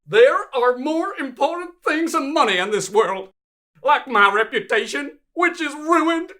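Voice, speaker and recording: Melodramatic musician customer for animation played by a woman, high, swooping voice, easily wounded, faint noise. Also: expressive, measured pace, fairly bright tone. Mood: bitter. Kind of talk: animated cartoon dialogue.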